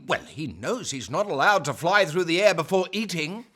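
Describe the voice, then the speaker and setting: A man playing an excitable, playful Victorian gentleman in a period radio play, with a breathy, husky voice. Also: fairly high pitch, normal speed. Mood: mock adventurous.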